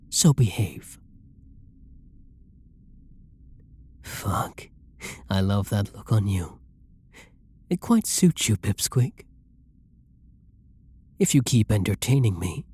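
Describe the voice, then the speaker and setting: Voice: mid-range voice, dark tone, breathy edge. An actor voicing a flirty, possessive villain who menaces and teases a hostage in an ASMR roleplay, domineering and often dropping into whispers. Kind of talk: roleplay ASMR audio drama monologue.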